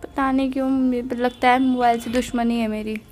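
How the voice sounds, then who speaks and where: Young female, Indian accent, highish pitch, casual couple's phone chat, teasing and defensive, faint music. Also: level tone, brisk, darkish tone. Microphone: phone call.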